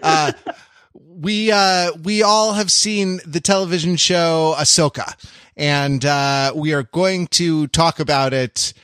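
A man's voice: on the high side, steady tone, slow, a bit bright, clear, resonant tone, no room sound, clean studio sound. A man hosting a casual panel podcast about television, riffing in a wry way and often laughing. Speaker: podcast host.

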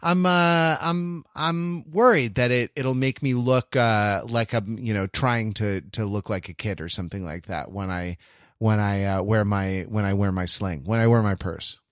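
A man talking casually as a podcast host, halting and rambling, often interrupting himself, with a wry, self-deprecating manner. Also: lowish voice, a bit bright, smooth, no room sound, clean studio sound. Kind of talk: casual chat.

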